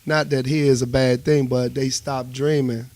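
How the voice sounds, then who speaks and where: A man's voice: mid-range voice, little pitch movement, moderate pace, darkish tone, no echo. A man with a Washington, D.C. accent talking casually as an interview guest, telling things in colorful slang and everyday phrasing. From United States.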